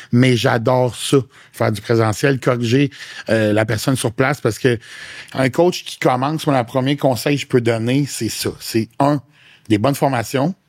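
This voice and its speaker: A man with a Québécois accent speaks in a mid-range voice, frank and animated, a fitness coach chatting casually as a guest. He drops English slang into his talk. The sound is dry and close. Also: mid-range voice, no echo. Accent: Québécois French. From Quebec. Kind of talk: casual interview chat.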